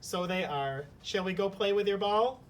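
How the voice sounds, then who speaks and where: A man's voice: moderate pace, close to an everyday voice. A man voicing a grateful, polite young fairy-tale prince in a read-aloud story. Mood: friendly. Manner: polite, earnest. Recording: slightly distant mic, faint rumbling noise.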